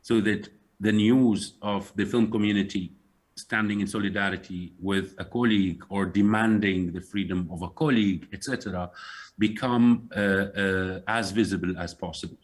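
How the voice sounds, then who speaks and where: A man from Syria, speaking as a guest in a panel conversation in an earnest, measured way, often pausing to find his words. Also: fairly low pitch, steady tone.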